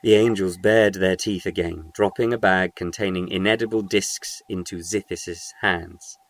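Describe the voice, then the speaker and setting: A man's voice: deep voice, steady tone, a little slow, dry recording. A man reading scripted narration for a short audio story.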